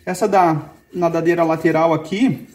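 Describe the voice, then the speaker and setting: Man explaining in a high voice. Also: normal speed. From Brazil. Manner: calm step-by-step explaining, pointing things out. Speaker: fishing channel host. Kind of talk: cooking demonstration. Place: indoor kitchen with brick and wood walls.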